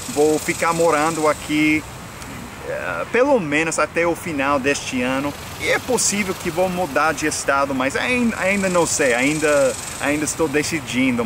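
A young American man, a native English speaker, chatting casually to the camera in a sunny park, relaxed with lots of fillers. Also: fairly high pitch. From United States. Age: young adult. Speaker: vlogger and English teacher. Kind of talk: casual outdoor vlog. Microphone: camera mic at arm's length. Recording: outdoor noise from traffic.

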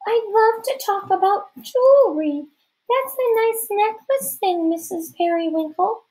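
A woman's voice: very high voice, a little slow, darkish tone, voice pushed up, low-quality recording. A woman voicing a boy in disguise who fakes an affected, sugary girlish voice for an audiobook. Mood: awkward, falsely bubbly. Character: boy disguised as a girl, faking sweet girlish voice. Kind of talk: audiobook character voice.

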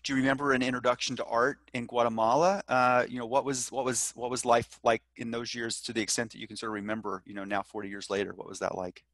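A middle-aged man interviews his guest at a fast clip, talking with lots of filler words. He speaks into a headset mic, and the sound is close and dry with no room echo. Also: mid-range voice, level tone, a bit bright. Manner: brisk, chatty, many fillers. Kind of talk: hosted interview. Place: room at home. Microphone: headset mic on a video call.

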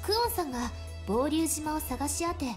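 A woman's voice: sits high, expressive, fast. A woman voicing a cheerful young guide in a visual novel who speaks politely and formally. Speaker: station support staff in visual novel. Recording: game audio with faint music.

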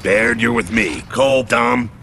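An actor playing a gruff, gravelly soldier squad leader in a video game, terse and commanding. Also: male voice, medium pitch, fairly even intonation, unhurried. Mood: firm, commanding. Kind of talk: video game cutscene acting. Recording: loud music and battle noise behind.

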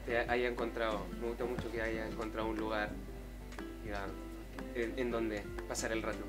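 A man's voice: fairly low pitch, level tone, normal speed. A man in his twenties chatting casually as a streamer, reading his chat. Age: 20s. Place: home kitchen, indoors. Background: music.

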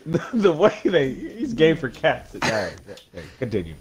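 A man's voice: fairly high pitch, wildly swooping pitch, normal speed. A man chatting casually and reacting in a giggly, rambling way with swearing.

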